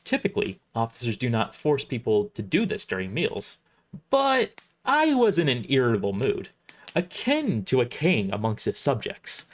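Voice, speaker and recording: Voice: mid-range voice, close to an everyday voice. A performer voicing an irritable, imperious military starship admiral, narrating an audio drama in formal, wordy first person with stumbles over the script. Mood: irritated, haughty.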